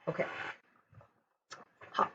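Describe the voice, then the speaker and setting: A woman Chinese teacher with a southern Taiwanese accent, reading practice sentences slowly with casual asides to her students. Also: sharp tone, slight background noise. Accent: southern Taiwanese Mandarin. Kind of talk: recorded pronunciation lesson. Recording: dull lo-fi recording.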